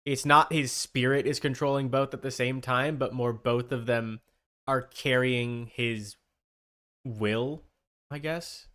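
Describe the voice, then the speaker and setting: Smooth-voiced man chatting on stream. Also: medium pitch, steady tone, slow pace, sharp tone. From Canada. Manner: rambling, thinking aloud. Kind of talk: casual livestream chat.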